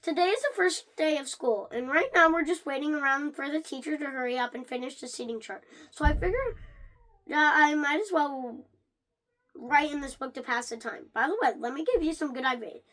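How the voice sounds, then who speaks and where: Someone reading a children's book aloud in an animated way, stumbling over words and starting again. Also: high-pitched, a little fast, low-quality recording. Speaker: reader of a read-along.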